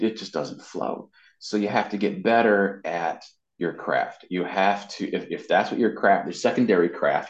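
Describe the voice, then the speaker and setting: Deep-voiced man in a muffled, echoey room. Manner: informal, explanatory, often checks in with "you know". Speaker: interview guest, marketing and web consultant. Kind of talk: conversational interview advice. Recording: muffled lo-fi sound, roomy echo.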